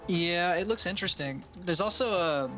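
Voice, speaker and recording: Bright-voiced man chatting over music. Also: sits high, normal speed, poor audio. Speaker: streamer chatting with friends. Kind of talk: casual chat. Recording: music behind the voice.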